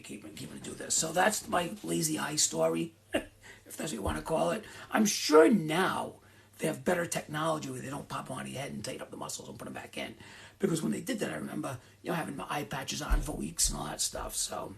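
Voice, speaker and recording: A middle-aged man chatting casually to his viewers, rambling from one story to the next and circling back with asides. His voice sits fairly high for a man, with a gravelly, creaky edge running through it. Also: animated, brisk. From Rhode Island. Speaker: host of a live Q and A.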